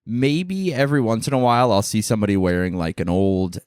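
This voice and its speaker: A man's voice: fairly low pitch, fairly quick, darkish tone, clear, resonant tone, no echo, studio quality. A tired man co-hosting a podcast, rambling playfully in casual comedic chat and trailing off mid-thought. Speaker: podcast co-host.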